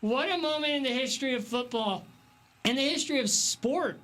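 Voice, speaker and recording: A man's voice: very high-pitched, fairly quick, pushed and bright. A man working as a sports reporter and play-by-play announcer, with an emphatic broadcast delivery.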